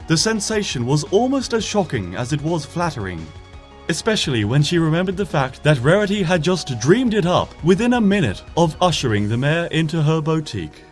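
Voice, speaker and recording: A man reading a story aloud in a soft, gentle narrating voice. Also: on the high side. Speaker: fanfiction reader. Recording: faint music beneath the voice.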